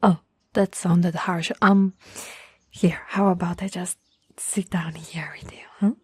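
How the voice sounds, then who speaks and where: A woman acts out a tall young woman who teases gently and cares a lot, in an intimate ASMR roleplay. Her voice is soft and breathy with a little husk. She takes her time, coaxing playfully, and the sound is very dry. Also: on the low side, steady tone, slow, no echo, exaggerated voice. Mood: playful teasing. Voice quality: soft, breathy, slightly husky. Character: tall, teasing, caring young woman, former childhood friend.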